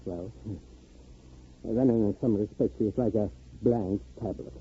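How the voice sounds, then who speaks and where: A man's voice: lowish voice, unhurried, husky. A man playing a secretive, proud professor in a 1950s radio drama, fussy and hesitant, often stammering. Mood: proud, lecturing. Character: flustered, secretive professor-inventor, proud of his creation. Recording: muffled vintage 1950s radio recording.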